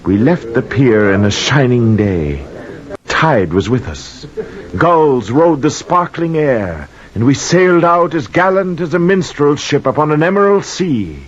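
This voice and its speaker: A man's voice: mid-range voice, sing-song, measured pace. An actor playing a haunted, cursed old mariner who tells his tale urgently and poetically in 1940s radio drama style. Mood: grave, brooding. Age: older. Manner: intense, poetic, incantatory storytelling. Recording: dull vintage 1940s radio recording.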